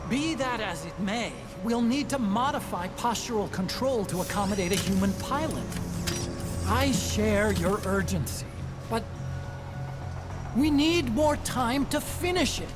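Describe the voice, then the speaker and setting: An actor playing a nervous, self-justifying scientist, pleading and indignant in a video game. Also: male voice, expressive, measured pace, over music. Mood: anxious protest. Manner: pleading, high-strung protest.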